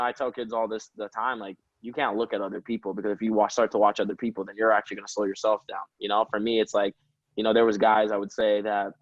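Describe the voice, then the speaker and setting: Low-voiced young man, relaxed rambling chat. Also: no room sound, muffled recording. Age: 20s. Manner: relaxed, rambling, laughs often. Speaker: guest, professional soccer player. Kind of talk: casual interview chat.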